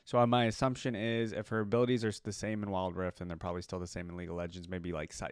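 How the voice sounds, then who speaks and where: A man with a lowish voice reacts casually as a video host. He rambles and thinks out loud as he goes. The sound is dry and close to the mic. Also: flat delivery, rapid-fire, clear, resonant tone, dry recording.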